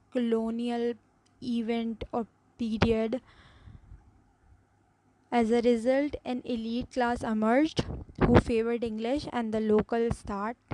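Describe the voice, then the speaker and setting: A woman's voice: a little high, warm tone, slight background noise, low-quality recording. A woman with a Pakistani accent, a native Urdu speaker, giving an earnest, explanatory class presentation with some restarts.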